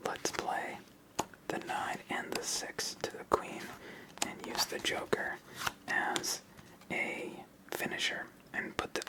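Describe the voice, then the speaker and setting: A fully whispered voice hosts an ASMR solitaire session. The delivery is hushed and unvoiced, narrated step by step in an explanatory way, close to the microphone. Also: poor audio. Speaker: host playing a solitaire card game. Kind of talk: ASMR whispered game commentary.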